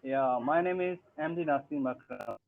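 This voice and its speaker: A man's voice: on the high side, moderate pace, creaky. Young man, English learner, hesitant, filler-heavy, video call, thin phone-like sound. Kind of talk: online tutoring call. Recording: tinny phone-like call audio.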